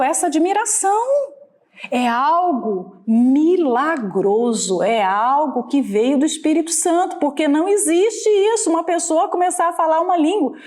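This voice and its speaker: A woman's voice: high-pitched, darkish tone. A woman from Minas Gerais with a Brazilian mineiro accent, preaching in a conversational sermon style and often checking in with her listeners. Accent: Brazilian Portuguese, Minas Gerais. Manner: conversational, asks the listeners for agreement. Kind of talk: sermon teaching.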